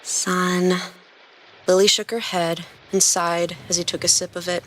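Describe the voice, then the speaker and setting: A woman's voice: fairly low pitch, steady tone, normal speed, slight background noise, poor audio. A woman reading scripted story narration as a podcast narrator and host.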